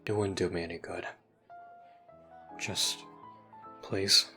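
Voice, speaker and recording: A man playing a withdrawn, dry-humoured teenager in an audio roleplay, deadpan and hesitant. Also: deep voice, slow pace, faint music behind, heavily acted. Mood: quietly grateful.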